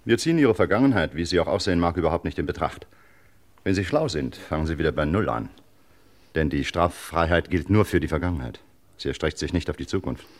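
An actor playing a cool, matter-of-fact police commissioner, dry and authoritative, in an old German crime radio play. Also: male voice, sits low, animated, quick pace. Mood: cool, official.

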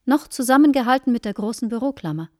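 A woman's voice: a little high, expressive, fast, a little mellow, no room sound, clean studio sound, close to an everyday voice. An actress in a German radio play as an earnest married woman, recounting with formal, dramatic detail. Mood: shaken, earnest. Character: earnest married woman solemnly recounting a shocking anecdote to a guest.

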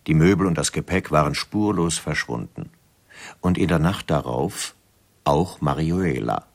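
The sombre narrator of a mystery radio drama. His voice is exceptionally deep, and he delivers scripted storytelling. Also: full character voice.

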